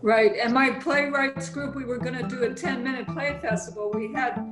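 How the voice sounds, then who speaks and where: A woman's voice: high-pitched, fairly even intonation, moderate pace, over music. An American woman talking casually as a guest playwright about her own writing, in conversation. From California, United States. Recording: roomy, echoing sound.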